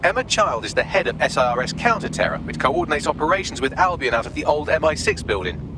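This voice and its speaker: An actor playing a witty, smug AI assistant in a video game, with a glib, wry, wordy delivery. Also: male voice, a little high, lively intonation, quick pace, background noise, natural voice. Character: witty, smug male AI assistant, cheeky and sardonic.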